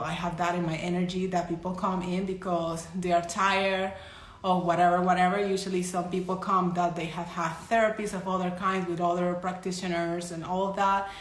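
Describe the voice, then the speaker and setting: A woman with a Colombian Spanish accent talks to her followers in a loose, chatty way, often restarting her sentences. Her voice sits a little low. The room around her is echoey. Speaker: psychic medium and energy healer. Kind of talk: casual live-stream talk to followers.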